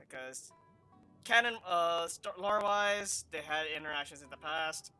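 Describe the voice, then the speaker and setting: A man giving casual, unscripted gameplay commentary as a streamer, halting as he thinks aloud. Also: on the high side, pushed and bright. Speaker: gaming streamer. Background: game music.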